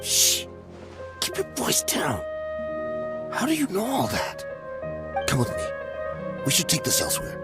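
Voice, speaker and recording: A shifty ex-mercenary smuggler. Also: male voice, a little high, wildly swooping pitch, unhurried, pauses often, loud music behind the voice, exaggerated voice. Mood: hushed. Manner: nervous, wheedling, bargaining. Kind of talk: video game dialogue.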